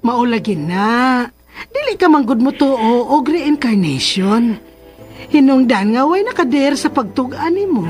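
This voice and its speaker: A woman's voice: high voice, very animated, unhurried, a little mellow, faint music behind. An actress with a Cebuano accent playing a concerned middle-aged aunt and mother in a radio drama, coaxing and placating. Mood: pleading.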